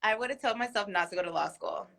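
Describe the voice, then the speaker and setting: A woman's voice: medium pitch, quick pace, very noisy. A woman whose first language is Korean, speaking as a guest in a casual interview over a video call, earnest and reflective. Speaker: guest, business owner.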